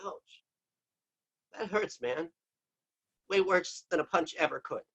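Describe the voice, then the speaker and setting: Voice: mid-range voice, slow, vocal fry, slightly rough, exaggerated voice. A stage actor playing a defeated villain who gives up with dry, sarcastic quips. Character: sulky defeated villain, wisecracking. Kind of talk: stage play acting.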